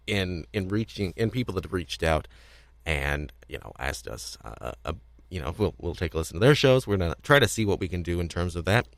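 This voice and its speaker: A man's voice: low voice, fairly bright tone, no room sound. A man hosting a casual podcast in a rambling, hesitant way, often repeating himself. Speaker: podcast host.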